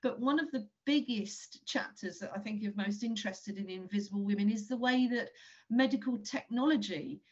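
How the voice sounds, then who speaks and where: A woman's voice: on the high side, moderate pace, darkish tone. Older woman, dull call audio. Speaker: panelist. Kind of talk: measured panel presentation. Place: indoor room at home, video call.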